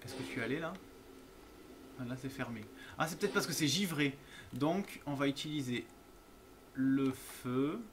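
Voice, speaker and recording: A man's voice: medium pitch, normal speed, pauses often, background noise. A man commenting casually and playfully while he plays a game, joking and laughing often. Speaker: streamer playing a horror game.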